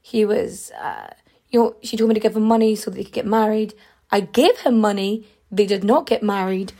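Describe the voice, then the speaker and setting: A woman's voice: medium pitch, expressive, normal speed, vocal fry, mellow. Woman voicing a blunt, indignant mother. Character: indignant middle-aged mother seeking answers.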